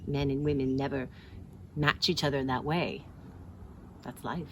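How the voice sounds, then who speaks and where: An actress plays a wistful, resigned woman in a story dramatization. Her voice is very low and dry, with clear noise behind it. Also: fairly even intonation, normal speed. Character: wistful, disappointed woman musing on men. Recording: clearly noisy home recording.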